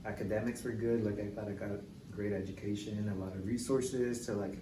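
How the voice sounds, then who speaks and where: Young man, relaxed and distant in echoey room. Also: lowish voice, a little fast. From Mexico City. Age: young adult. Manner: relaxed, filler-heavy storytelling with many "like"s. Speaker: real estate agent, podcast guest. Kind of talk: casual interview chat. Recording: echoey, distant mic with clear background noise.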